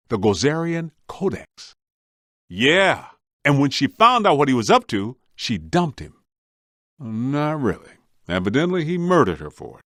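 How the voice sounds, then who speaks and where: Animated, bright-voiced wisecracking ghost hunter. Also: male voice, mid-range voice, no room sound, studio quality. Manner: colloquial, wry, plain-spoken. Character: streetwise, wisecracking male ghost-hunting team member. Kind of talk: video game voice acting.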